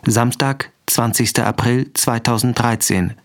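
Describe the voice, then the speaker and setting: A man's voice: mid-range voice, steady tone, a little slow. A man reading scripted scene headings as an announcer in a radio drama. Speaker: announcer of scenes, dates and places.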